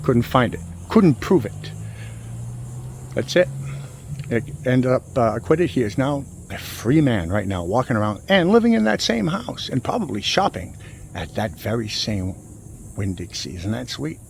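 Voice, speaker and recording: Male vlog host, mid-pitched, dramatic and halting true crime storyteller, recorded outdoors with traffic-like noise behind. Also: big pitch swings. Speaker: host of a cemetery exploring channel. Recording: clear vehicle and ambient noise.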